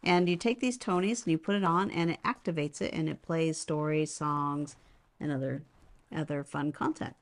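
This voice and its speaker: A woman's voice: low-pitched, moderate pace. A woman co-hosting a casual unboxing and product demonstration, recorded inside a home. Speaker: product review co-host. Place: indoors at a home.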